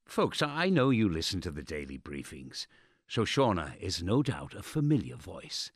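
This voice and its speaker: An actor playing a smug, sardonic state radio host in a dark audio drama, theatrical and menacingly polite with pompous presenter patter. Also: male voice, low-pitched, huge pitch swings, moderate pace, breathy edge, dry recording, studio quality, exaggerated voice. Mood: grave, sanctimonious.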